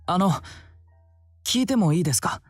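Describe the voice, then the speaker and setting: Polite young guy, hesitant, earnest, rapid speech, visual novel, faint music behind. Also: sits high, expressive, no room sound. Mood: curious. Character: timid, polite, earnest young man, bar customer. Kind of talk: Japanese visual novel voice acting.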